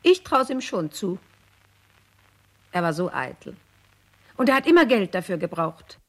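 A woman's voice: mid-range voice, very animated, a little slow. A woman in a radio play, playing a disdainful witness who suspects a man.

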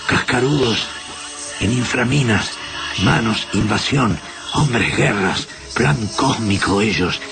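A dying alien slave in a radio drama, his voice very low and slowly unfolding. He speaks in broken, halting fragments, gentle and full of mournful longing, with music underneath. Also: animated, creaky, full character voice. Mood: grave, pained.

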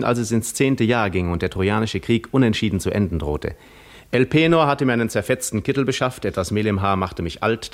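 Cunning Greek war veteran, low voice, measured, reflective, self-assured, articulate, 1950s radio play monologue. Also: male voice. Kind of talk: 1950s radio drama monologue, literary first-person narration.

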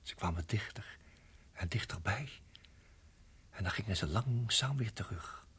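An actor playing a tense husband in a radio drama, speaking in a hushed, halting, urgent way with a husky voice. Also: male voice, fairly low pitch, animated, full character voice. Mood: haunted, dramatic narration. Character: tense husband guiding wife through deadly snake danger. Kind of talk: radio drama acting and narration.

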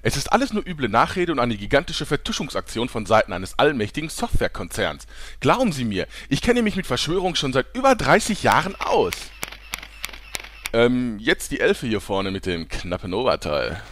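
A man's voice: mid-range voice, very animated, moderate pace, a bit bright, dry recording. A man playing a vain, defensive middle-aged tabloid reporter and conspiracy buff in a parody radio play. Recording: noisy press room with clicking cameras.

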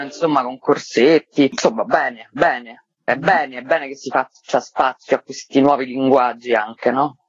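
A woman's voice: deep voice, breathy edge, no echo. A woman giving informal, enthusiastic answers as a theatre-maker in a casual radio interview. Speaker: theatre writer, director and performer interviewed as guest. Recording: slightly dull, lo-fi, dry remote sound.

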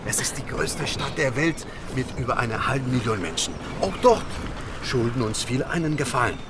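An actor playing a breezy, worldly, reassuring friend in a radio drama, flamboyant in manner. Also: male voice, medium pitch, lively intonation, brisk, lightly acted. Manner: flamboyant, peppers speech with foreign words. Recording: noisy boat and waterside ambience.

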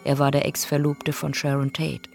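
A woman's voice: low-pitched, monotone, moderate pace, gravelly, darkish tone, faint music behind. A woman narrating victims' profiles in a radio play, in a clipped, factual, sober manner.

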